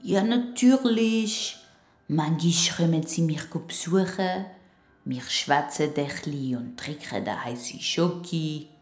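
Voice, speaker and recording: Casting: caring neighbour woman for a children's radio play, low and slow, Swiss German accent, chatty, calmly protective. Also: soft background music. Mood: calm, assured.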